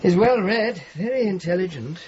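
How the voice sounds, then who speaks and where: An actor playing a courteous, reserved host with quiet sympathy in a period radio drama, measured and polite. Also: male voice, sits high, lively intonation, crowd noise. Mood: quietly sympathetic. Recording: dull lo-fi audio with clear noise.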